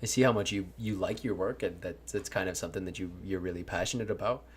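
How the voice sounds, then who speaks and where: Low-voiced young man, rambling and earnest. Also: quick pace, a little hiss or hum. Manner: rambling, earnest, swears often, laughs a lot. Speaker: content creator. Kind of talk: casual chat.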